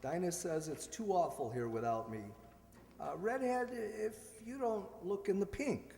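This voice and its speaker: A man's voice: sits high, measured pace, creaky, mellow, a little hiss or hum. A man acting a suave, teasing upper-class ex-husband with a wry, courtly manner in a radio play. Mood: breezy, teasing. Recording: echoey hall, stage radio performance.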